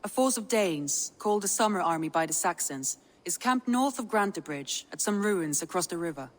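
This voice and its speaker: A woman acts a strategic Viking advisor for a game. Her voice sits low and she explains things formally and with composure. A long reverb hangs on the sound. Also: lively intonation, moderate pace, close to an everyday voice. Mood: briefing. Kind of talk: dramatic game dialogue.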